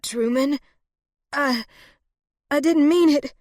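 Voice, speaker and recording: A woman's voice: high-pitched, slow pace, darkish tone, no echo, studio quality, pitched above the performer's own voice. A woman voicing a frantic, self-pitying wounded woman in an audiobook, wailing and pleading. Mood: moaning, remorseful.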